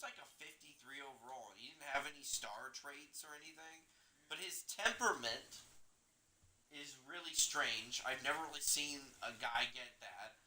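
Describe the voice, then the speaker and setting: A man's voice: a little high, bright, forceful tone, echoey room. A man with a Midwestern American accent giving rambling, boastful, casual commentary as he live-streams a football video game. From Missouri, United States.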